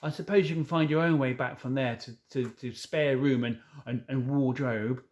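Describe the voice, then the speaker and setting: A man's voice: medium pitch, fairly even intonation, normal speed, natural voice. A man reading a storybook as an anxious, tearful male fawn who stammers and pleads. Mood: flustered, resolved. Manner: stammering, pleading, self-reproaching.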